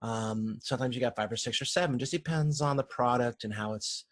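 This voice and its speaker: A man lecturing informally over slides, explaining in a halting way and often correcting himself. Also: medium pitch. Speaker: university lecturer.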